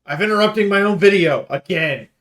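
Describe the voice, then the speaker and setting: A male YouTube host with a somewhat high-pitched voice talks through a game preview. He is casual and opinionated, stressing his points emphatically as he rambles and swears. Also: lively intonation, brisk, a bit bright.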